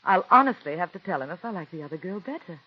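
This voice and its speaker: An actress in her thirties playing a calm, married playwright's wife who narrates, speaking in a poised, measured way in a 1940s radio drama. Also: on the low side, breathy edge. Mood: gently firm. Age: 30s. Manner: poised and measured, gently firm. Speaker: narrator and lead character. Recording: dull old radio broadcast with faint music.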